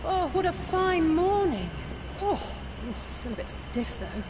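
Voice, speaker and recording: A woman's voice: very high-pitched, sing-song, slow pace, background noise, exaggerated voice. A woman playing an earnest, determined young noblewoman in a fantasy game. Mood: bright, eager. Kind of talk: scripted fantasy game dialogue. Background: music.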